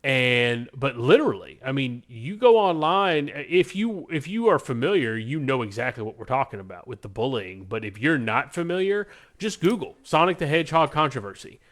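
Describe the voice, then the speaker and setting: A male podcast co-host talks in a loose, conversational way with a mid-pitched voice. He is enthusiastic and emphatic, stressing his points as he chats. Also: animated, normal speed, few pauses, fairly bright tone. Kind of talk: casual podcast chat about movies.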